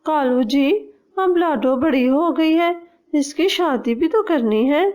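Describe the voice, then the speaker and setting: A woman's voice: high-pitched, slow pace, darkish tone, lo-fi sound, pitched above the performer's own voice. A woman voicing a possessive, scheming mother bird in a cartoon, with an anxious, calculating manner.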